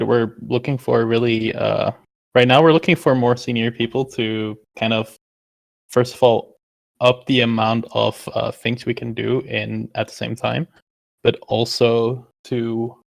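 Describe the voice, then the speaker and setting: Gravelly man, hesitant with uhs. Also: medium pitch, no room sound. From Netherlands. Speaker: tech lead answering questions. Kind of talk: casual Q&A.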